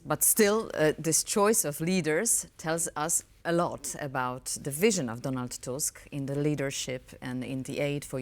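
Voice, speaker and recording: A woman presents a current affairs interview in a notably low voice. She frames her questions formally and deliberately, as a television host does.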